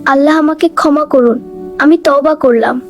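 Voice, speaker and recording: A woman's voice: high voice, normal speed. A woman voicing an earnest young boy in a moral cartoon, dramatic and repentant. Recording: music behind the voice.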